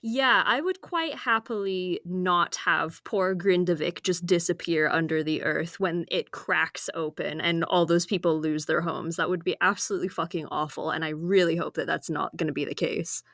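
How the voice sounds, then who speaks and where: A woman's voice: lowish voice, moderate pace, few pauses, fairly bright tone, no echo, clean studio sound. A woman co-hosting a podcast in casual chat, talkative and laughing often, her voice slightly strained from screaming. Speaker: podcast co-host.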